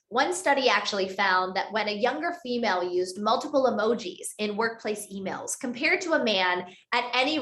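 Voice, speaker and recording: A woman author giving a structured webinar talk on a video call, speaking in clear list-like phrases. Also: fairly high pitch, a bit bright. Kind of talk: webinar presentation. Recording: roomy echoey sound.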